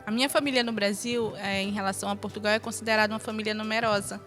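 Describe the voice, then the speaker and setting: A woman's voice: medium pitch, fairly even intonation, very fast, fairly bright tone, soft background music. A Brazilian-accented woman in her early thirties, an interview guest telling personal stories casually. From northern Brazil. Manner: chuckles often while talking.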